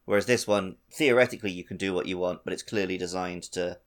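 A man's voice: low voice, quick pace. A man co-hosting a podcast, casually discussing a video game and giving his own take. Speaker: podcast co-host.

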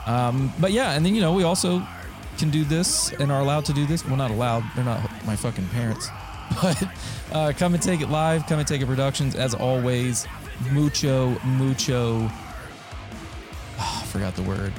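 A young man from North Carolina hosting a podcast in casual chat, loose and rambling, swearing and laughing easily. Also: medium pitch. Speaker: podcast host.

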